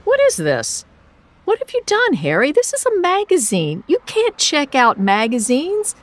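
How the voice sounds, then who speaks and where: A woman's voice: high-pitched, huge pitch swings, unhurried, heavily acted. A woman playing an earnest, kind young boy in a radio play, questioning, exclaiming and coaxing. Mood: worried, alarmed.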